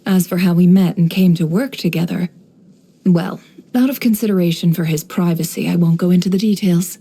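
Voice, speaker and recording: An actress playing a shrewd, worldly woman who runs an intelligence business in a video game, speaking in a composed, wry, measured way. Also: on the low side, mellow, breathy edge. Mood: dry, businesslike. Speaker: video game character voice actor. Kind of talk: scripted game character voice lines, monologue.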